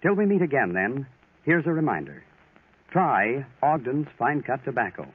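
A man's voice: medium pitch, sing-song, moderate pace, husky. A man announcing and advertising in a 1940s radio style. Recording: phone-like 1940s radio recording.

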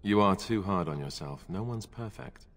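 An actor voicing an earnest, kindly young warrior companion in a fantasy video game, plain-spoken and reassuring. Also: male voice, on the low side, expressive, normal speed, big-room sound.

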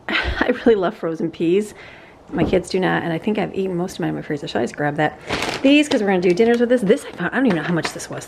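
A woman's voice: mid-range voice, slight background noise. A woman in her forties chatting casually through a grocery haul on a handheld camera, laughing often and rambling. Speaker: family vlogger. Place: outdoors on a porch, earlier indoors at a kitchen counter.

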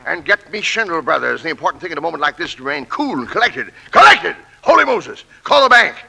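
An actor playing an irascible, pompous company boss who sputters and blusters in 1940s radio comedy style. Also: male voice, fairly high pitch, very animated, fast talker, creaky, bright, forceful tone, breathy edge, full character voice, poor audio. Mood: flustered, outraged. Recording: vintage 1940s radio broadcast.

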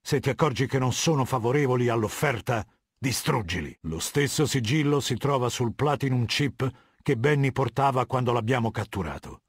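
A male actor playing an imperious, menacing middle-aged warlord in a video game, commanding, cold and condescending, with a husky voice. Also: mid-range voice, lively intonation, moderate pace, dry recording, studio quality. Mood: measured, imperious. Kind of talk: video game dialogue, Italian dub.

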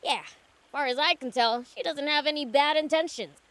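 High-voiced cocky demon boy. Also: moderate pace, fairly bright tone. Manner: brash, scrappy. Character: cocky young demon prince, small impish boy.

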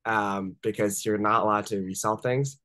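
A man's voice: on the low side, fairly even intonation, normal speed, fairly bright tone, no echo. A young man in his twenties, a startup founder raised in the Washington DC area, telling stories casually over a video call with lots of fillers. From born in Japan, raised in Washington DC area. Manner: chatty, many fillers like "uh" and "like", laughs easily. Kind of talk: casual interview storytelling. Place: home room on a video call.

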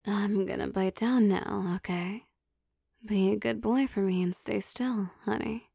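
A woman acts out a teasing, possessive vampire girlfriend in an intimate ASMR roleplay. She takes her time, coaxing softly, with a gravelly fry in her voice. The sound is close and very dry. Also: mid-range voice, fairly even intonation, a little slow, dry recording. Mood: coaxing, tender. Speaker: voice actor.

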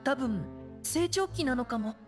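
A woman playing a timid, earnest, soft-spoken teenage boy in an anime-style game, hesitant and subdued, with music behind. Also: high voice, lively intonation, a little slow. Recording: studio voice over game music. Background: background music.

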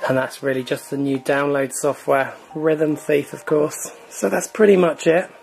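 A man's voice: a little high, moderate pace, creaky, soft background music, poor audio. A man hosting a gaming channel, chatting casually with many fillers as he walks through a console's online store. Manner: relaxed, filler-heavy, chatty. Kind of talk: casual screen walkthrough.

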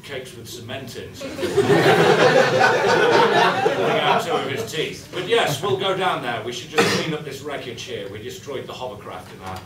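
A man's voice: mid-range voice, lively intonation, moderate pace. A man improvising a comic story live on a small stage. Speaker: improv comedy performer. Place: small comedy club stage. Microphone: distant camera. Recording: echoey, noisy room recorded from far off.

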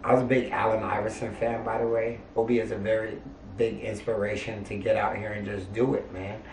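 A middle-aged man from Hawaii hosting a casual family Q&A, animated and jokey, with sudden loud bursts and quiet asides. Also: fairly low pitch, a little fast. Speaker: family show host. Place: indoor bedroom, on a couch. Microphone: handheld mic. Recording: echoey room, mic at a distance, faint hiss.

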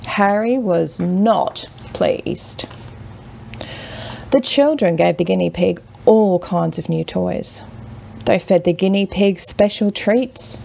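A woman reading a children's picture book aloud as a mother would, expressively and with dramatic pauses. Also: medium pitch, gravelly, muffled recording, a little hiss or hum. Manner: measured, with dramatic pauses and hushed drawn-out words. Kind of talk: expressive children's storybook narration.

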